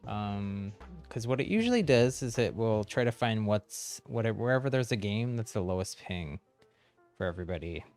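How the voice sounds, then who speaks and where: A man's voice: lowish voice, lively intonation, normal speed, a little mellow, soft background music. A man chatting casually and easily as he live-streams a game. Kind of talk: casual live-stream chat while gaming.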